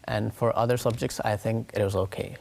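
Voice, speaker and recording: A man in his late teens from Nepal, giving measured, careful answers as a guest in a studio interview. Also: lowish voice, steady tone, creaky, a little mellow. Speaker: interview guest, school graduate.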